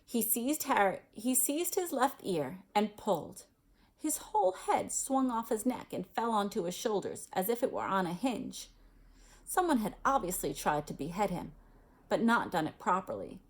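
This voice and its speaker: A woman's voice: mid-range voice, sing-song, moderate pace. A woman reading a storybook aloud expressively, as a storyteller who adds casual asides.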